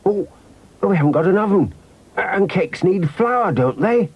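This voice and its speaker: A man's voice: high-pitched, animated, moderate pace, breathy edge, lo-fi sound, pitched above the performer's own voice. A man voicing an eager, inquisitive little boy in a children's story, full of exclamations and questions. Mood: excited.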